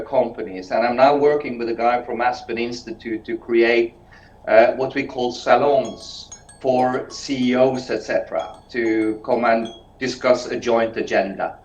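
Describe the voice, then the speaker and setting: A man from Norway introducing himself on a video call in a relaxed, wry way, with many fillers. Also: medium pitch. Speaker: webinar participant. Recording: roomy, dull video-call sound.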